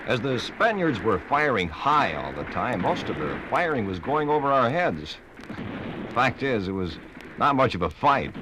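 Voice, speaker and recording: A man's voice: medium pitch, noisy background, natural voice. A man playing a young army lieutenant giving a plain, matter-of-fact battle account in a 1950s radio drama. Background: gunfire and artillery sound effects.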